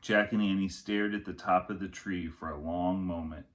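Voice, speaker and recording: A man's voice: low voice, steady tone, normal speed. A man narrating a storybook for children in the manner of a teacher reading aloud.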